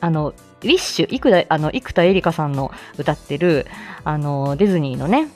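Lively, chatty woman with swooping intonation. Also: low voice, moderate pace, soft background music. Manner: chatty, hesitant, thinking aloud, often chuckling. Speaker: host of a morning audio show. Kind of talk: casual solo chat to listeners.

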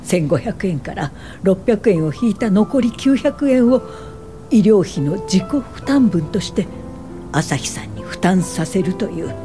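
An actress playing a principled medical social worker in a radio drama, speaking in a measured, formal and steady way. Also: female voice, mid-range voice, soft background music, natural voice. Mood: grave, recollecting. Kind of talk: radio drama narration and acting.

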